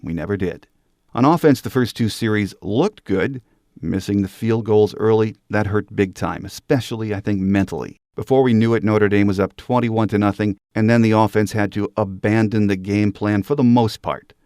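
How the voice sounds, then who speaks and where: A man's voice: fairly low pitch, moderate pace, a little mellow, dry recording, studio quality. A man, middle-aged or older, hosting a sports podcast and delivering prepared solo commentary. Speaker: podcast host.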